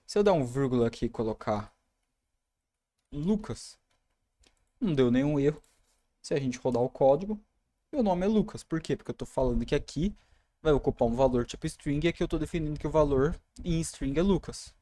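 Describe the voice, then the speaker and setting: Young Brazilian man, relaxed tutor tone, warm and dry. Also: on the high side, brisk, dry recording. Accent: Brazilian Portuguese. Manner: casual, talks through code while typing. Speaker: programming tutor. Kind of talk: screen-recorded coding tutorial.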